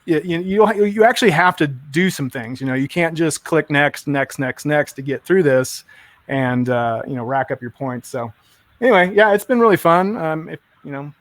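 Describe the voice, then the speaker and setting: A man's voice: on the high side, lively intonation, moderate pace, dry recording. A man chatting enthusiastically and rambling a bit on a tech stream, full of fillers. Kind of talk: casual tech chat.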